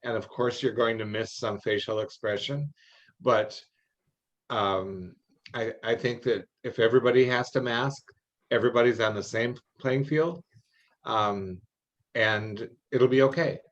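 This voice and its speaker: A man's voice: medium pitch, measured pace. Man on a dull, lo-fi meeting call. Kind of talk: informal online meeting talk. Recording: dull, lo-fi online call audio.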